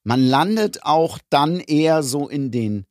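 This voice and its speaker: A man's voice: on the high side, animated, a little slow, no room sound, clean studio sound. A man from Germany co-hosting a casual podcast, explaining his views at length in a reflective way. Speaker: podcast co-host.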